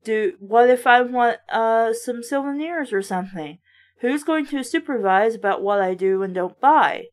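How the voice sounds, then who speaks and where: A woman's voice: fairly high pitch, normal speed. A woman hosting a casual book read-aloud with blunt, sardonic, sometimes profane running commentary. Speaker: book reviewer and host.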